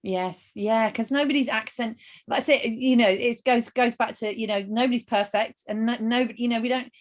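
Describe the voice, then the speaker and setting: A woman's voice: a little high, fairly even intonation, moderate pace. A woman hosting a webinar, encouraging her guest and reflecting on accents, with frequent affirmations. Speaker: webinar host. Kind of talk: host listening and responding. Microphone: webinar call mic.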